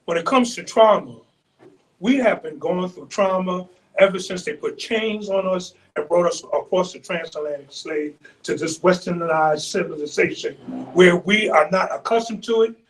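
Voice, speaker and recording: A man, speaking as a panelist in a loud, preacherly way and repeating phrases for emphasis, picked up from a distance. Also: high-pitched, normal speed. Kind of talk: impassioned community panel speech.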